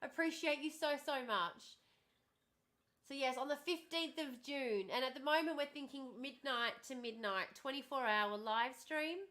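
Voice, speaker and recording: Woman streamer, high and bright, bubbly and chatty, slightly worn voice from hours of talking. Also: normal speed. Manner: bubbly, chatty, thanks viewers warmly and often. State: starting to lose her voice. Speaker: livestream host. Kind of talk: casual livestream chat with viewers.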